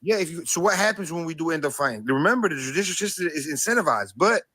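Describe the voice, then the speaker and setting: Bright fast argumentative man. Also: a little high, lively intonation, no room sound. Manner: argumentative, evasive. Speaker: caller.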